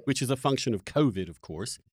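Insistent man debating with swooping pitch. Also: lively intonation, fast, a little mellow, husky, no echo, studio quality. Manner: combative, insistent, presses with pointed questions. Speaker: podcast host and interviewer. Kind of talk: heated political debate.

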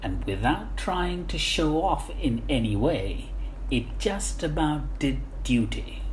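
A woman reading a humorous first-person anecdote aloud with measured, wry understatement, like a storyteller. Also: low voice, animated, a little mellow. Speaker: guest reader of book excerpts. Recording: roomy echo, faint background noise.

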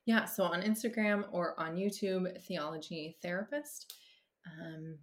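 A woman's voice: lowish voice, a little slow. A woman therapist, a podcast guest, talking casually with many fillers, restarts and easy laughs. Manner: laughs easily. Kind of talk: casual conversation.

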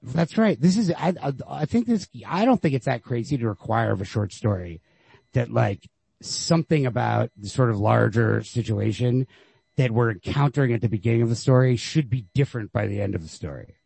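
A man's voice: mid-range voice, animated, fairly quick, vocal fry, a little mellow, breathy edge, no room sound. A man co-hosting a podcast, casually discussing literature and thinking aloud in circling, hedged phrases. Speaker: podcast co-host.

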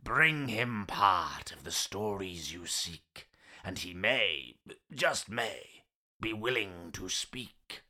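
An actor playing a crumbling old stone gargoyle in an audio play, grand and declamatory, boastful and grumbling. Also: male voice, deep voice, expressive, slow, pushed and bright, exaggerated voice. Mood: grave, solemn. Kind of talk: theatrical audio play acting.

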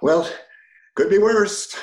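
A man's voice: high-pitched, slow, noisy background. An actor playing a bewildered, good-natured older man on a date in a romantic comedy audio play, stammering and protesting. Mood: polite, hesitant. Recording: roomy, echoing voice recording.

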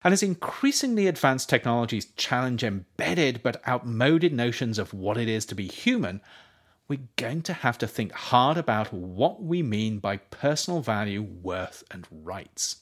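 A man narrates a scripted essay in the manner of an author reading a book aloud. His mid-pitched voice moves through a wide, animated range, with measured phrasing and clear emphasis on key words.

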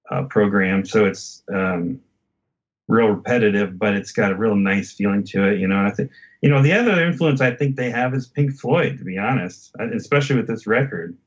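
Low-voiced man chatting about music. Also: lo-fi sound. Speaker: music podcast host. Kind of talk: casual album discussion.

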